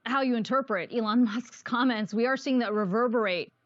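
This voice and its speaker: High-voiced woman anchor, dull sound. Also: brisk, no room sound. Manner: probing, pressing questions. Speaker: news anchor. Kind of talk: live TV interview.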